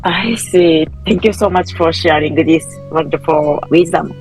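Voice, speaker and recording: Slow-speaking woman host. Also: sits low, a little mellow, soft background music, rough recording. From Japan. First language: Japanese. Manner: attentive, frequent backchannels. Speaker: podcast host. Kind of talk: podcast interview.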